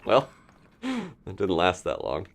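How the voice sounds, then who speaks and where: Low-pitched, lively male game streamer. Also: takes it slowly, creaky, a little hiss or hum. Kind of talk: casual live gameplay commentary, thinking aloud.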